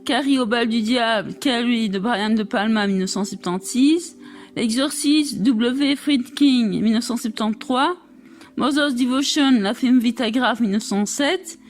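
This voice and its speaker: High-pitched woman reading aloud. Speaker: reader of an academic text. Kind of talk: reading a scholarly text aloud.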